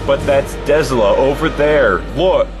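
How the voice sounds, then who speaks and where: An actor playing a frightened young rookie soldier in a war audio drama. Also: male voice, mid-range voice, measured pace, music in the background, close to an everyday voice.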